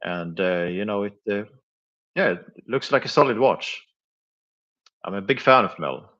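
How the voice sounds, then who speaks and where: A man's voice: on the low side, lively intonation, a little slow, a bit bright. A man chatting casually on a live stream, hesitant and full of filler sounds, often chuckling between thoughts. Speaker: live streamer reviewing flea market finds. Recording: dull lo-fi sound.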